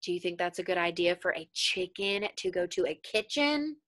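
A young adult woman teacher reading a story aloud to children and explaining it with simple, deliberate questions. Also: on the low side, lively intonation, a bit bright. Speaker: elementary school teacher.

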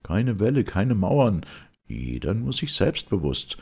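A man's voice: fairly low pitch, big pitch swings, slow pace, vocal fry, a little mellow, husky, exaggerated voice. A man voicing a proud, reckless winged youth who yearns for war, declaiming verse with passion. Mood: defiant. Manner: declamatory, impassioned verse delivery.

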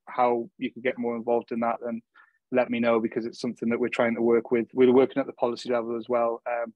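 A man's voice: medium pitch, a little mellow, no room sound, dull audio. A man speaking as a guest at an online meetup, rambling with many restarts and fillers. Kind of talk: casual online meetup talk.

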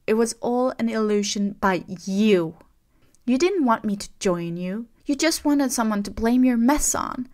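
A woman voicing a betrayed young heroine who shouts accusations in a trembling voice. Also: on the high side, measured pace, close to an everyday voice. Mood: furious, betrayed.

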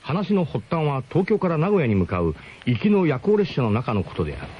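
A man's voice: a little high, wildly swooping pitch, brisk, a little mellow. A man narrating a radio drama as comic first-person storytelling, in an emphatic, mock-grandiose manner. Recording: muffled radio broadcast with clear background noise.

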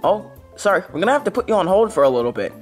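A man acts out a nervy little-brother fox in a homemade plush comedy. He rambles hesitantly with a sarcastic edge, his pitch jumping around a lot. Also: big pitch swings, rapid-fire, faint music behind. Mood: fake official. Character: anxious, wordy young fox little brother. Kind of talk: amateur plush comedy skit.

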